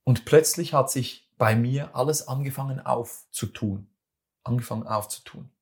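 A man's voice: medium pitch, normal speed. A man with a Swiss German accent, talking earnestly to the listener in a motivational monologue, often restarting and repeating phrases. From Switzerland. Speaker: speaker giving communication advice.

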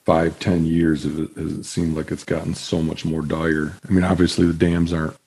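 A man hosting a podcast, asking casual questions in a halting way, searching for words. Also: rumbling low, level tone, gravelly, dark tone, breathy edge. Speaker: podcast host.

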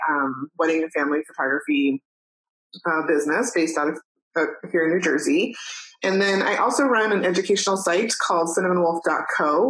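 A woman in her thirties from New Mexico, talking casually as a podcast guest, chatty with many "um"s and soft laughs. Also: low-pitched, rough recording. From New Mexico, USA. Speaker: guest, photographer and educator. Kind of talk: casual interview chat.

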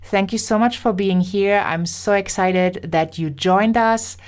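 A woman's voice: mid-range voice, unhurried. A woman hosting a podcast, asking stumbling questions and giving an upbeat promotional wrap-up. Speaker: podcast host. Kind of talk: podcast interview and outro.

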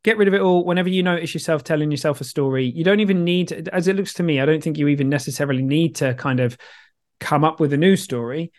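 A man's voice: on the high side, quick pace. A man co-hosting a podcast, a coach who talks in a rambling, chatty way. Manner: rambling, chatty, self-deprecating. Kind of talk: casual podcast chat.

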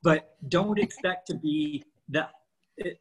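A man's voice: fairly high pitch, takes it slowly, husky, low-quality recording. A man in his fifties co-hosting a casual podcast, explaining training and nutrition with lots of rhetorical questions. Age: 50s.

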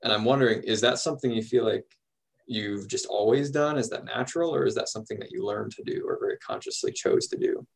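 A man's voice: on the low side, fast talker, vocal fry. A man hosting a podcast, asking curious, conversational questions. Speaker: podcast host. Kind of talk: interview questions.

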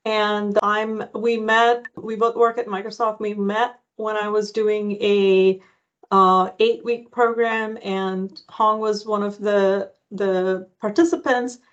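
A woman's voice: medium pitch, flat delivery, takes it slowly, dull audio. A woman hosting an interview podcast, chatty and often restarting her sentences. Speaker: podcast host. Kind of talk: conversational interview.

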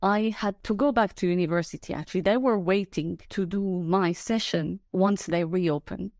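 Young woman host, low soft voice, dry close sound; casual, laughs a lot, hesitant. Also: normal speed, dry recording. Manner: laughs often, hesitant with fillers. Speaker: podcast host. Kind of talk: casual conversational chat.

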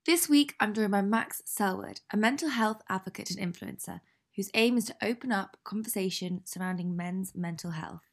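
A woman's voice: mid-range voice, normal speed. Friendly woman hosting a podcast. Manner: friendly, clear scripted intro. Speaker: podcast host and journalist. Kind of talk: podcast interview. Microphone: video call.

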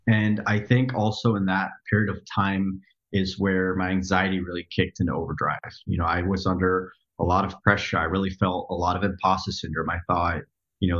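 A man in his thirties from the Netherlands, a podcast guest telling his story in a reflective, halting way with many restarts. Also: low voice, a bit bright, dull audio. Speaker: podcast guest, software developer. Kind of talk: casual interview storytelling.

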